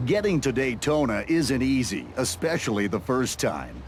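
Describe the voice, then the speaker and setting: A man's voice: mid-range voice, sing-song, normal speed, background noise. A man narrating a motorcycle workshop show in a scripted, dramatic style.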